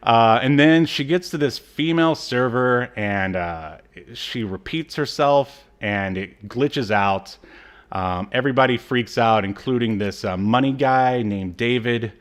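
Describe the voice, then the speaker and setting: A man co-hosting a podcast, recapping in a casual, halting, conversational way that trails off. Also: mid-range voice. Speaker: podcast co-host. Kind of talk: casual chat, recapping a TV episode.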